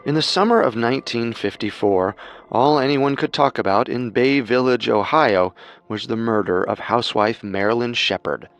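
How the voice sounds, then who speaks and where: A man hosting a podcast, delivering scripted true-crime narration in a measured, dramatic storytelling manner. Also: medium pitch, fairly bright tone, no echo, faint music behind.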